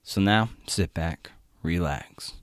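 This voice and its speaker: A man's voice: sits low, slow pace, no room sound. A man hosting a podcast, speaking close to the microphone. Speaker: podcast host, interviewer.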